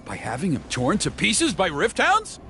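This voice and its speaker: Zealous villain elder, bright sharp voice, slow and dramatic, swings up and down. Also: male voice, high voice, huge pitch swings, a little hiss or hum, full character voice. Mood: indignant. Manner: theatrical, with a menacing laugh. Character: fanatical elder man, scheming and zealous. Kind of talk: video game voice acting.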